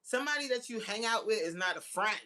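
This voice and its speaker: A woman in her forties hosts a casual livestream chat. Her voice is bright and forceful, with very lively swings in pitch. She talks in a teasing, slangy, giggly way. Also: quick pace. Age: 40s. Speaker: livestream host. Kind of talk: casual chat with live viewers reading comments.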